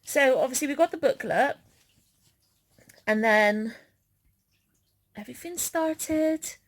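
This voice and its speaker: A woman's voice: sits high, takes it slowly. A woman vlogger chatting casually as she recounts an outing.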